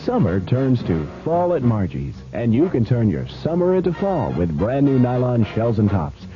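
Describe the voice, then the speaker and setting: A man's voice: mid-range voice, wildly swooping pitch, moderate pace. A man announcing a scripted retail radio advertisement. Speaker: commercial announcer. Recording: muffled old radio ad, music behind. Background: music.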